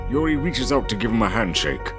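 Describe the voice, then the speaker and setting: A man's voice: mid-range voice, sing-song, brisk, over loud music. A man narrating a fantasy audio drama in a theatrical, scripted storytelling style.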